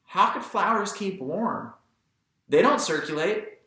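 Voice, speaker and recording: A man's voice: high voice, animated, slow, bright, forceful tone, echoey room, voice pushed up, full character voice. A man voicing a confident, bossy young Southern boy in an audiobook, giving instructions and cautions. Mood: eager. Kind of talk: audiobook character voice.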